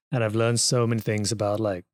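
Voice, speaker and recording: A man's voice: fairly low pitch, flat delivery, moderate pace, no echo. Casual man on a close, dry mic. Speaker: podcast co-host and audio editor. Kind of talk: casual podcast chat. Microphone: close podcast microphone.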